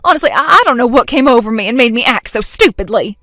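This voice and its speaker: A woman's voice: expressive, moderate pace, fairly bright tone, no echo, studio quality, faint music behind. Woman doing a frantic, whiny young woman. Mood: pleading. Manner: shrill, panicky outbursts.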